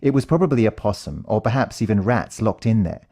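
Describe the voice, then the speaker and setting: Low, mellow male storyteller with wide-swinging intonation. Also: animated, fairly quick. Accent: British. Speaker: audiobook narrator. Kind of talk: first-person scripted story narration.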